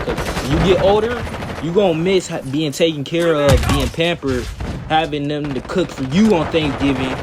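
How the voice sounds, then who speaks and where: A fourteen-year-old boy rambling casually to his viewers in a storytime vlog, full of "you know" fillers and restarts, talking straight to the audience in informal slang. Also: fairly high pitch. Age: teens, 14. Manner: addresses viewers directly, informal slangy speech. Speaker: vlogger. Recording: loud background noise and clicks.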